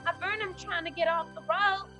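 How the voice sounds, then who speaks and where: A woman's voice: very high-pitched, steady tone, a little fast, music in the background. A woman playing a feisty, folksy older cowgirl in an old-time western radio drama. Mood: anxious.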